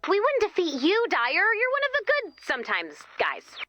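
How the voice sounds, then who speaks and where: A woman's voice: very high voice, huge pitch swings, normal speed, lo-fi sound, music in the background, heavily acted. A woman playing a peppy, encouraging young mission leader in a video game, chatty and coaxing. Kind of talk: video game character voice acting.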